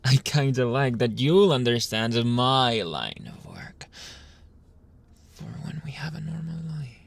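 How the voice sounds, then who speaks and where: Man acting out a husky, whispering, seductive mafia boss. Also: medium pitch, big pitch swings, exaggerated voice. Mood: possessive, seductive. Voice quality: breathy, husky, often dropping into whisper.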